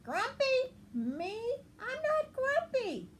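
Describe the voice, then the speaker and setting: An indignant young male monkey from a storybook, played by a woman reader, grumpy and emphatic. The pitch leaps high and swings widely, with drawn-out denials over some noise. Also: huge pitch swings, full character voice. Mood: exasperated.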